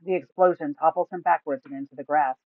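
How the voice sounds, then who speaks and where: A woman's voice: low-pitched, fairly even intonation, fast, no room sound. Dry, tinny woman storyteller. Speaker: storyteller reading a tabletop campaign. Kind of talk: scripted fantasy story narration. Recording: thin, phone-like, dry sound.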